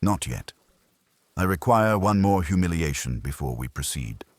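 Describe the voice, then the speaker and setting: A man acts out a pedantic, commanding master detective from a mystery audiobook. He speaks in ornate, formal sentences, very low and husky, with emphatic swings of pitch. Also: very animated, normal speed, no room sound.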